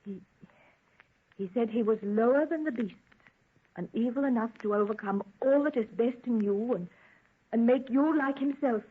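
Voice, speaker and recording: An actress playing an anxious, devoted young fiancée in an earnest, pleading golden age radio drama style. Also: female voice, on the high side, moderate pace, pauses often, slightly rough. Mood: hesitant, puzzled. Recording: tinny band-limited vintage radio recording.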